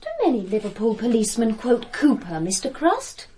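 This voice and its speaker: A woman's voice: fairly high pitch, very animated, normal speed, warm tone, a little hiss or hum. A woman playing a cool, educated academic researcher in a radio drama, articulate and slightly guarded.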